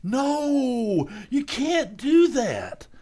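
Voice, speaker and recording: Bumbling family man for vintage radio comedy, male actor: hesitant, slow, high and very animated. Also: exaggerated voice. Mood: exasperated, defensive. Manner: hesitant, stammering, blustering when cornered. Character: bumbling, flustered working-class family man and father. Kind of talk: old-time radio comedy acting.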